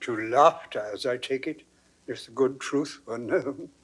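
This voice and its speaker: An actor playing a blunt, hot-tempered old lord, theatrical and emphatic, in classical Shakespearean drama. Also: male voice, medium pitch, a little slow, natural voice, poor audio. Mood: wry, protesting. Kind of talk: classical Shakespearean stage acting.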